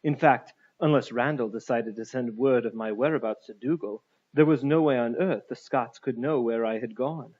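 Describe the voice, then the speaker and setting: Male audiobook narrator, husky mid-pitched voice, literary prose read, slightly lo-fi sound. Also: normal speed, hardly any pauses, darkish tone.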